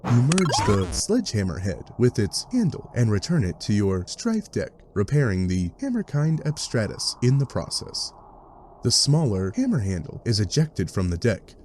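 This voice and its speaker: A man's voice: on the low side, darkish tone, slight background noise. A man reading scripted second-person webcomic narration with wry, dry asides. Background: music.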